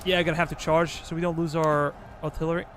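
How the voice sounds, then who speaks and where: A man's voice: a little high, level tone, fast talker, soft background music. A man from Serbia with a Serbian accent, casually commenting live on a strategy game while ordering his troops and chatting with viewers. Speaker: game streamer.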